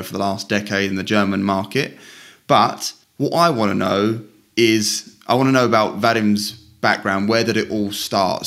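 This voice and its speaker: A man's voice: on the low side, fairly bright tone. A man hosting an interview podcast, delivering introductions and questions to a guest.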